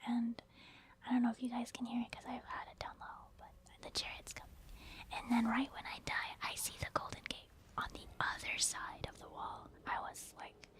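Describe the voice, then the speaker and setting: A woman doing soft, whispered ASMR commentary over gameplay, chatting informally to her viewers. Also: on the high side. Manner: informal, conversational, addressing viewers. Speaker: ASMR gaming creator.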